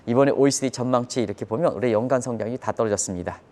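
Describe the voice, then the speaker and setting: A man's voice: mid-range voice, racing, mellow. A man giving live economic commentary on a broadcast in an emphatic, explanatory manner, posing rhetorical questions.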